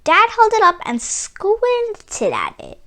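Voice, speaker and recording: A woman reading a children's story aloud in an expressive, theatrical way, drawing out key words for emphasis. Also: very high voice, slow. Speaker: children's book reader.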